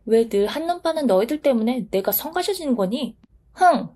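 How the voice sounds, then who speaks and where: Storybook dust specks, tiny and cheeky, high squeaky chatter, as a woman puts them on. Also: lively intonation, moderate pace, warm tone, a little hiss or hum, lightly acted. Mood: squabbling.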